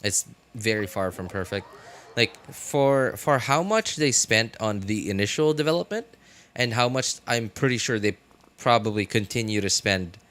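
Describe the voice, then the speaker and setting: A young man doing casual livestream commentary on a game, rambling and halting as he repeats himself. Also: lowish voice, lively intonation, fairly bright tone. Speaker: game streamer.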